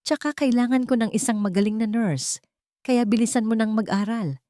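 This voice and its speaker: A woman's voice: on the high side, brisk, mellow, dry recording, pitched above the performer's own voice, close to an everyday voice. A woman voicing a proud, curt young rich man with a secretly tender side, in a romance audio story. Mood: feigned indifference.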